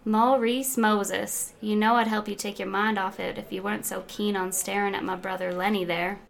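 Gravelly, sly outlaw woman played by a woman. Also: mid-range voice, little pitch movement, moderate pace, close to an everyday voice. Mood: teasing. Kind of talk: comic Western audio drama acting.

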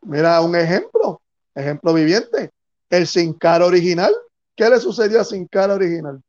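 A man's voice: high voice, lively intonation, moderate pace, a little mellow, muffled recording. A man hosting a podcast interview, asking questions and giving brief encouraging interjections.

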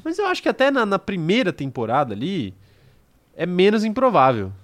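A man's voice: high-pitched, brisk. A young man from the interior of São Paulo with its accent, co-hosting a casual live stream, reading chat comments and joking with slang. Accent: Brazilian Portuguese, São Paulo interior. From interior of São Paulo state, Brazil. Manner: animated, bursts into laughter, slangy.

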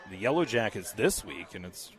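A man with a somewhat low voice calls a local high school football game play by play. His voice is scratchy tonight, and he keeps a steady, measured pace with casual fillers between plays. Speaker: play-by-play announcer.

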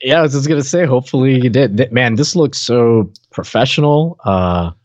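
A man chats as a podcast co-host, informal and slangy, at an ordinary mid pitch. The recording is dry and a little dull. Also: animated, moderate pace, darkish tone, no room sound. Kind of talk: casual chat. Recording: dry, slightly dull microphone sound.

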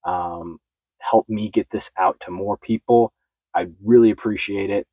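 A man's voice: lowish voice, fairly even intonation, measured pace, dry recording, dull audio. A man hosting a podcast, talking in a friendly, loose way with lots of fillers, recorded in a podcast studio. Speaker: podcast host. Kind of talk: casual interview chat.

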